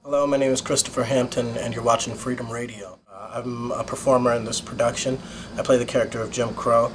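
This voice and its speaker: A man's voice: mid-range voice, steady tone, fairly quick. A young American man, a stage actor, speaking earnestly and hesitantly in an interview. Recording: noticeable background noise and room ambience.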